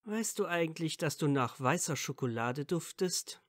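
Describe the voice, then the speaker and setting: A man's voice: on the high side, expressive, normal speed, fairly bright tone, no room sound, studio quality. A man playing a playfully whiny, smitten young wizard student in a fan audio drama. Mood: tender, smitten.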